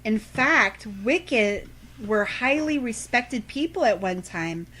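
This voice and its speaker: A woman's voice: on the high side, animated, takes it slowly, a bit bright, slight background noise. A woman co-hosting a radio show, reading scripted trivia facts aloud.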